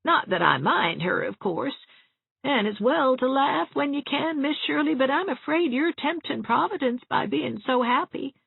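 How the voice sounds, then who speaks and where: A mournful, fussy old spinster always fearing the worst, as a woman reads her in an audiobook. The voice sits high and creaky, with a husky edge. The sound is dry and thin, like a phone line. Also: animated, moderate pace, no room sound, heavily acted. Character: gloomy fretful elderly spinster woman.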